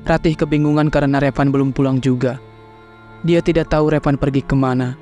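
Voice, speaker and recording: A man narrating a horror cartoon in a plain, steady storytelling voice. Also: medium pitch, brisk, faint music behind. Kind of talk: scripted narration.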